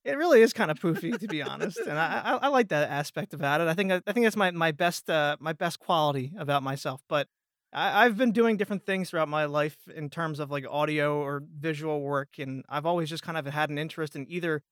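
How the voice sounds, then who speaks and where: A man in his twenties talks casually as a podcast guest. His voice is fairly high, bright and forceful, recorded very dry. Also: brisk, dry recording. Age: 20s. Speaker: guest voice actor. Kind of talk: casual chat.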